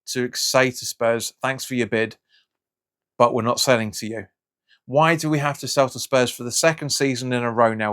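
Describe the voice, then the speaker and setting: Frustrated football fan, slightly raspy. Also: male voice, medium pitch. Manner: frustrated, rambling with restarts. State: hoarse from hay fever. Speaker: football fan channel host. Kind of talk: casual reaction vlog.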